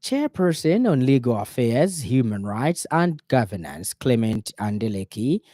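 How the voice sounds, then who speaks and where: A man with a Zambian accent reading a radio news bulletin in a formal, measured way. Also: medium pitch, very animated, warm tone, no echo, studio quality.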